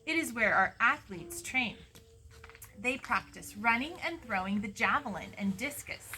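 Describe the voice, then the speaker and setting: A woman's voice: fairly high pitch, lively intonation, measured pace, sharp tone. A woman voicing a proud, knowledgeable Greek man who guides a young visitor in a storybook, declarative and instructive.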